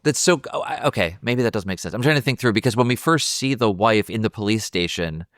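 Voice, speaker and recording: Male podcast co-host, lowish pitch, dry sound, tentative and thoughtful conversational delivery. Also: expressive, fast, a bit bright, dry recording. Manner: thoughtful, tentative, self-interrupting. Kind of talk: casual film discussion.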